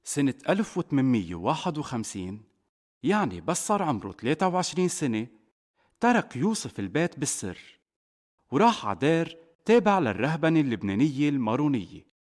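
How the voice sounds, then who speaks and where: A man with a Lebanese accent narrating a scripted children's story as a father would, in an expressive way. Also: a little high, a little fast. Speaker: narrator, a father telling a saint's life to children.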